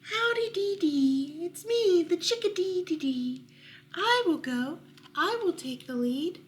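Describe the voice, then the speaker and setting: A woman's voice: very high-pitched, lively intonation, slow, pitched above the performer's own voice, exaggerated voice. A woman voicing a tiny, eager storybook chickadee with a chirpy, repetitive delivery. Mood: cheerful, eager. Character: eager little stammering chickadee, brave volunteer.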